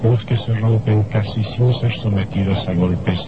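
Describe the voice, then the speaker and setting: A man's voice: lowish voice, fairly even intonation, a little slow, very noisy, close to an everyday voice. A man playing a sinister, gloating narrator of macabre radio horror tales, theatrical and ominous. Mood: grave, ominous. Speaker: narrator and horror show host. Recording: dull lo-fi old radio recording, loud music. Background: loud dramatic music.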